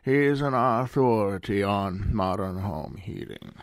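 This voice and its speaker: Man as a creaky, low-voiced radio heating expert. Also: slow pace, dry recording, studio quality. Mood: earnest, folksy. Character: folksy, earnest home heating expert in a radio advertisement. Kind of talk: 1930s radio ad read.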